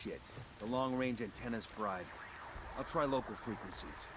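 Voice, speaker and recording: A man's voice: mid-range voice, normal speed, background noise, natural voice. An actor playing a male special forces squad leader giving clipped, matter-of-fact orders. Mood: calm.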